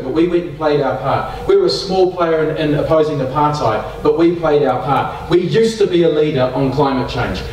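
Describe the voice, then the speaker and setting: New man, fiery rhetorical candidate, fairly high voice, distant hall mic. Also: a little mellow, slight background noise. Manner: passionate, rhetorical repetition. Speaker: political candidate. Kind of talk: campaign speech. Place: community centre hall.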